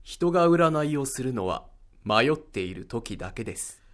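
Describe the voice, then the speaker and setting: A man's voice: medium pitch, big pitch swings, normal speed, fairly bright tone. A man acting in an audio drama as a polite, contemplative figure who speaks formally while pondering a mystery. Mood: pensive. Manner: formal, courteous. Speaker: voice actor in an amateur audio drama. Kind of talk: dramatic dialogue.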